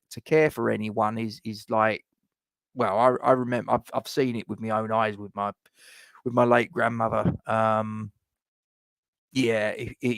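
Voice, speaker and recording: A man's voice: fairly low pitch, vocal fry, dry recording. A middle-aged man hosts a casual live chat, reading viewer comments and rambling with lots of fillers and restarts.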